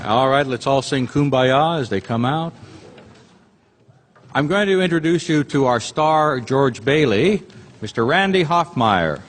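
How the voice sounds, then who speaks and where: A man's voice: medium pitch, lively intonation, measured pace. Chatty man emceeing in a theater. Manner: chatty, joking, ad-libbing. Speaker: stage emcee introducing the cast. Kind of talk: live theater cast introductions. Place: theater stage before a live audience. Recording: long hall reverb, loud audience and noise. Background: audience noise and laughter.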